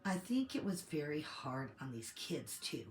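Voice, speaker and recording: A woman co-hosting a commentary show, getting over a cold and slightly hoarse, giving her opinions in a measured, thinking-aloud way. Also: low voice, big pitch swings, echoey room, a little hiss or hum. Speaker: co-host of a TV commentary channel.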